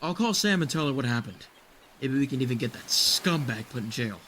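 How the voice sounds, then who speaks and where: A man's voice: medium pitch, expressive, a little fast, a bit bright, slight background noise, lightly acted. An actor playing an earnest, determined man who insists on pressing ahead, in an audio drama. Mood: resolute.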